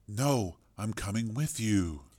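A man's voice: on the low side, lively intonation, slow pace, dark tone. Man voicing a tough, stubborn, terse woman. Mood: wary. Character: Raven, tough stubborn weary young woman.

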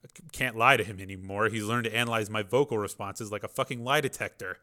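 Narrator putting on a nervous male scientist: stutters, bright, higher and louder than the narration. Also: fast. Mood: frantic. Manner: stammering, frantic, raised voice. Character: anxious young male scientist, stammering and lying.

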